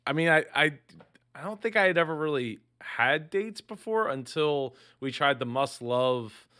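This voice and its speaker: A man's voice: fairly high pitch, expressive, sharp tone, clean tone. A man hosting a casual reaction show, talking with enthusiasm, with lots of fillers and restarts. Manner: halting, many fillers and restarts; upbeat and enthusiastic. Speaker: business reaction host.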